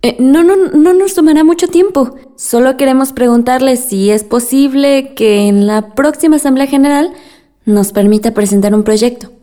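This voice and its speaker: A woman's voice: sits high, moderate pace, warm tone, close to an everyday voice. An actress with a Mexican accent playing a determined, spirited young woman from a village in a radio drama, polite but outspoken. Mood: polite, insistent. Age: young adult.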